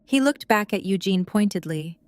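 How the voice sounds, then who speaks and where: Clear, smooth woman narrating a story. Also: medium pitch, lively intonation, normal speed, a little mellow, no echo. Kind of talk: scripted dramatic narration of fan fiction.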